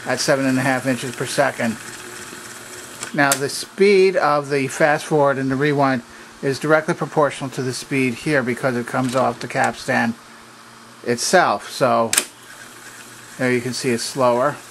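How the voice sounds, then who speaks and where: A man presenting an unscripted hands-on technical demonstration in a halting, methodical, explanatory way. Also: medium pitch, steady tone. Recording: noticeable background noise.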